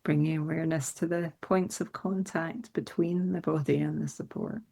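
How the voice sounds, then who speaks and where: A woman leading a guided meditation in a soothing, unhurried manner with gentle instructions. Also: sits low, fairly even intonation, vocal fry.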